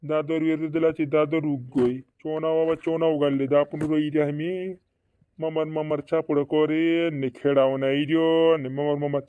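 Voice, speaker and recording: A man's voice: a little high, flat delivery, normal speed, smooth, dry recording, lightly acted. A man voicing a fretting cartoon villager with repetitive, doubled words, in a dull lo-fi recording. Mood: urging. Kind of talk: regional comedy cartoon dialogue. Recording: dull lo-fi dry audio.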